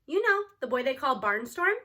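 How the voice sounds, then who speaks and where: A woman's voice: sits high, very animated, moderate pace, pushed and bright, exaggerated voice. A woman voicing a concerned, chatty school nurse speaking over the intercom in a children's storybook.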